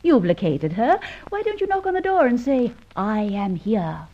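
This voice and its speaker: A husky, pert, sceptical sidekick. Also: female voice, fairly high pitch, very animated, moderate pace, mellow. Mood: sceptical, flippant. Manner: questioning, drily flippant. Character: sceptical, pert young female companion of an adventurer. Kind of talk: old radio adventure serial acting. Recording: dull, muffled old radio recording.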